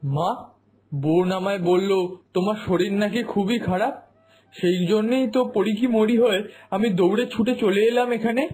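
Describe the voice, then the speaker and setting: A man acts out an earnest, homesick working son in a cartoon drama. The voice is high for a man and rough-edged, with a complaining manner. Faint music runs underneath. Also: moderate pace, darkish tone. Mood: upset, bewildered. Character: homesick, hardworking son working far from home. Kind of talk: Bengali cartoon drama dubbing.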